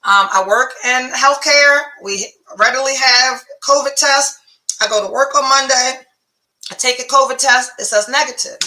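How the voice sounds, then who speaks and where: A woman hosts her own podcast and talks straight to the listener in a blunt, profane, animated way. Her voice sits a little high and has a bright, forceful edge, with a congested, phlegmy sound in her throat. The room around her has a noticeable echo. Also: moderate pace. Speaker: podcast host. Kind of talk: casual storytelling monologue.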